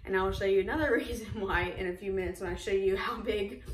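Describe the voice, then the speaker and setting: A woman's voice: mid-range voice, steady tone, fast talker, slight background noise. Young woman vlogging from her kitchen, chatty and bubbly, lots of um and like, roomy echo, a bit far from the mic. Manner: chatty, upbeat, lots of fillers. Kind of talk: casual grocery haul vlog. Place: home kitchen, indoors.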